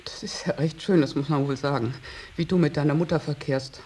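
A woman's voice: low-pitched, fairly even intonation, a little fast, darkish tone, slight background noise, natural voice. An actress playing an anxious, reproachful middle-aged mother in a German radio drama, pleading and scolding. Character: anxious, reproachful mother of a wayward adult son.

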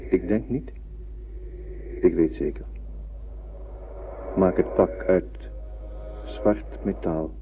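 Een acteur die in een hoorspel een zwaarmoedig, sterk buitenaards wezen speelt, kortaf en berustend in de dood. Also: male voice, sits low, drawn-out words, vocal fry, long pauses, full character voice. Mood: grimly resolved. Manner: brooding, terse, weighty. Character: melancholy male alien, tall and strong, resigned to death. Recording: muffled old radio-play recording, faint hiss.

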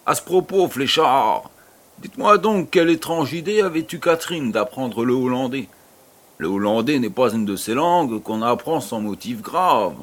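A man's voice: mid-range voice, expressive, normal speed, lightly acted. A man playing a genial, curious aristocratic baron in an audio drama, urbane and a little condescending. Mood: amused curiosity.

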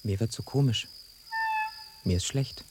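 An actor playing a timid, bewildered young pet tomcat, polite, naive and easily panicked, in a radio drama. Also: male voice, mid-range voice, unhurried, a little mellow, soft background music, natural voice. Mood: dazed, frightened. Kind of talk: German radio drama acting.

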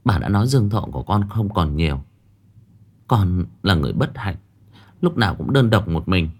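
A man's voice: low-pitched, lively intonation, moderate pace, slightly rough, heavily acted. A man doing a grieving, pleading young mother. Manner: pleading and respectful.

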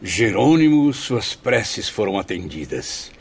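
Encouraging male spirit friend for radio drama: Brazilian, brotherly, formal, heavily husky. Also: on the low side, sing-song, slow pace, heavily acted. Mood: warm, congratulating. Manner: formal, exhorting.

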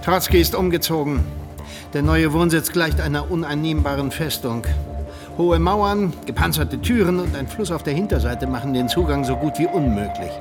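An actor as a measured, commanding Soviet spymaster. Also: male voice, on the high side, music in the background, close to an everyday voice. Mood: cold, businesslike. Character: controlled, commanding Soviet secret agent handler. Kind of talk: radio drama acting.

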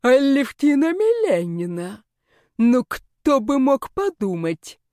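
A woman's voice: high voice, very animated, takes it slowly, dark tone, dry recording, studio quality, voice pushed up, exaggerated voice. A woman voicing a sneering, contemptuous male magic-school rector who drawls and barks orders in an audiobook. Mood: mocking. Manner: drawling, imperious, barking.

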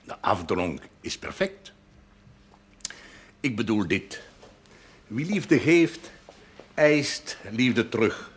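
Slow, sweeping, stern old pastor. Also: male voice, lowish voice, big pitch swings, breathy edge, full character voice. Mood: solemn, lecturing. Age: 60s. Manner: pompous, measured, sententious, slipping into French and Latin. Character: stern, erudite old village pastor, authoritative and sardonic. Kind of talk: television period drama acting.

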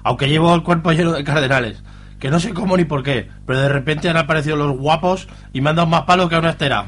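A man's voice: fairly high pitch, fast, fairly bright tone, lightly acted. A man playing a crude but affectionate young boyfriend in a comic radio soap, with a slangy Spanish accent from Spain. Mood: worried. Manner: slangy, laddish. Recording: clear background noise with hum.

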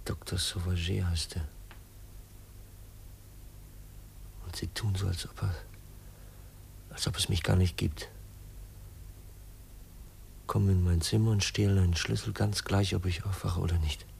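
A man's voice: deep voice, steady tone, moderate pace, gravelly, pauses often, darkish tone, a little hiss or hum. An actor in a 1950s German radio play, playing an earnest, suspicious young man who is polite but insistent and brooding. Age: young adult.

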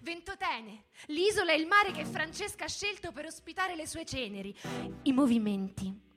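Female stage narrator, dramatic literary monologue, grave deliberate phrasing, soft instrumental bed behind. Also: high-pitched, expressive. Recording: music bed under the voice. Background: guitar music.

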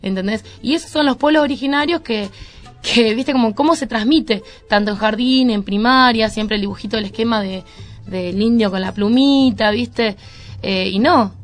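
Higher-pitched Argentine woman, rambling and colloquial. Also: normal speed, soft background music. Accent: Argentine, Buenos Aires area. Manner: rambling, colloquial, peppered with tag words. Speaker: band member, preschool teacher. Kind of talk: casual radio interview chat. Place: radio studio.

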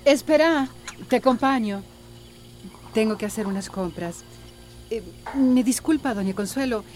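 A woman playing a gentle, patient young wife. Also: on the high side, measured pace, faint music behind, lightly acted. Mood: polite. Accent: Costa Rican. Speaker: radio drama actor. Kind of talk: radio drama acting.

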